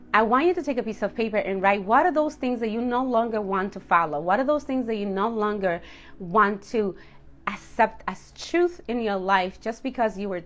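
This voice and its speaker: A woman in her late thirties speaks straight to her viewers as a coach. Her voice sits at an ordinary middle pitch for a woman. She talks candidly and reflectively, leaning on key words for emphasis in a personal motivational style. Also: fairly bright tone. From Dominican household. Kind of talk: personal motivational talk to camera.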